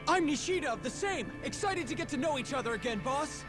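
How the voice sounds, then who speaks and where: Eager, lively yakuza underling. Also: male voice, very high-pitched, fairly quick, music in the background. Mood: eager, loyal. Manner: eager, earnest, deferential. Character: cheerful, loyal male yakuza underling, eager to please. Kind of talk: dramatic video game voice acting.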